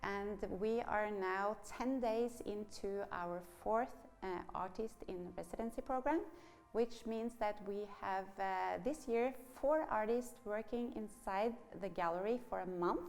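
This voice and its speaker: A woman's voice: medium pitch, unhurried, darkish tone. A middle-aged woman who runs a gallery introduces the program. She sounds welcoming and informative. Her voice sits in an ordinary middle range. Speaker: gallery owner.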